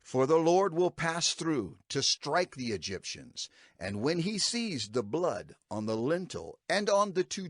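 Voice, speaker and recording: An earnest leader of his people, with a mid-pitched, very animated voice. He declaims instructions emphatically in the manner of audio scripture drama, recorded dry and close. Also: dry recording.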